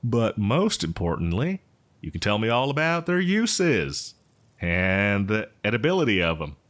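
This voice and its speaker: A man's voice: fairly low pitch, very animated, fairly bright tone. A man playing an eccentric, cheerful botanist who survived from before the apocalypse, rambling hesitantly in a folksy roleplay monologue. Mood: eager, enthusiastic. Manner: hesitant, rambling, folksy, with chuckles and drawn-out words. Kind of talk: roleplay audio drama monologue addressed to listener.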